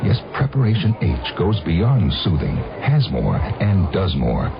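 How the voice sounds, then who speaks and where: A man's voice: deep voice, huge pitch swings, a little slow, creaky. A man reading a scripted radio advertisement as a spokesman. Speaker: commercial spokesman. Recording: muffled radio ad with music.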